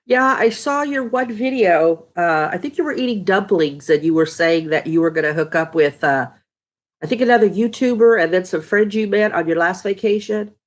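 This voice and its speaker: A woman's voice: medium pitch, normal speed. A middle-aged woman with a stuffed-up, nasal voice, chatting casually with viewers and greeting them by name on a live stream from home. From Texas. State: stuffy, congested nose. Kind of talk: casual live chat reading viewer comments.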